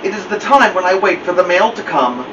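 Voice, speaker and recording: Storybook toad, gloomy and complaining, played by a man, higher voice, slightly distant mic. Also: animated, a little fast, highs cut off. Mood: sad. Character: glum, defeatist male toad in children's storybook. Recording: distant mic, faint room noise.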